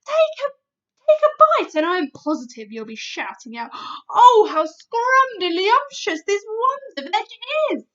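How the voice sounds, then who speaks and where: A woman's voice: very high-pitched, big pitch swings, a little slow, a bit bright, highs cut off, pitched above the performer's own voice, heavily acted. A woman voicing a big friendly old male giant who coaxes in garbled words, in a storybook reading. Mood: coaxing.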